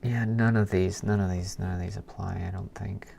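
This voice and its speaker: A man live-streaming as a programmer, thinking aloud in a halting mutter as he works, half talking to himself. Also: low-pitched, steady tone, vocal fry, warm tone. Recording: keyboard clicks and background noise. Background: computer keyboard typing and clicking.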